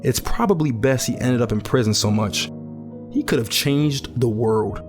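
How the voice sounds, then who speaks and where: A man's voice: medium pitch, normal speed, natural voice. A man playing a weary, reflective young betting clerk in a post-apocalyptic audio drama, speaking in a subdued, polite way. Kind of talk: audio drama narration and dialogue. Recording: music under the voice. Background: music.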